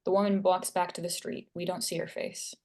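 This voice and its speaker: A woman's voice: fairly low pitch, little pitch movement, brisk, a little mellow. A woman reading stage directions and scene headings as narrator at a screenplay table read.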